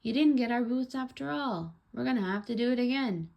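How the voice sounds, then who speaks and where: A woman's voice: fairly high pitch, animated, brisk, creaky, darkish tone, pitched above the performer's own voice. A woman voicing a bold, stubborn young girl in a children's storybook. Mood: concerned, pleading.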